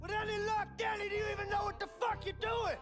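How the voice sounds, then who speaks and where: Fed up crook in a shootout game, super high pitched, swearing, music going. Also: male voice, flat delivery, fast. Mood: exasperated, urgent. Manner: urgent, skeptical, profane. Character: exasperated, foul-mouthed male heist crewman under fire. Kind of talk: video game action acting. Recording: music behind the voice.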